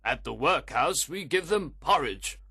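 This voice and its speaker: A man voicing a pompous middle-aged workhouse official in a storybook reading, booming and self-assured, with a husky voice. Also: animated, a little slow, sharp tone, full character voice.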